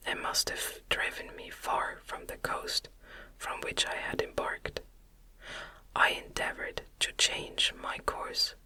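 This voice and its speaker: A soft, fully whispered ASMR reading of a classic novel, delivered breathily in slow, soothing, deliberate phrases with pauses. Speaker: audiobook reader.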